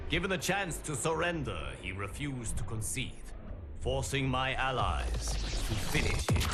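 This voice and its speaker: Solemn guardian-storyteller for a video game cutscene: lowish pitch, very unhurried, grand formal delivery over prominent music. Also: male voice. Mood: grave. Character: solemn, eloquent male guardian of timelines, grave storyteller. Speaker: story narrator. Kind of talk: dramatic scripted video game cutscene narration.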